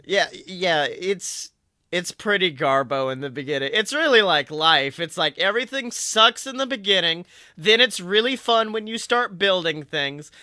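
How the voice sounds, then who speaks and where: A man with a high, intensely bright voice hosts a casual chat show about games. He talks in animated, slangy bursts and jokes readily. The sound is close and dry. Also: normal speed, dry recording. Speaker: podcast host.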